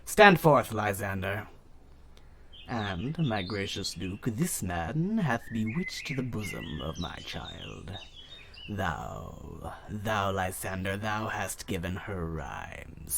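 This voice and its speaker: An indignant, domineering older nobleman acted by a man in a Shakespearean audio play. His low voice declaims slowly and swings widely into forceful emphasis. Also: slight background noise, full character voice. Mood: accusing. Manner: declamatory, bursting into sudden emphasis. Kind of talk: Shakespearean verse audio drama acting.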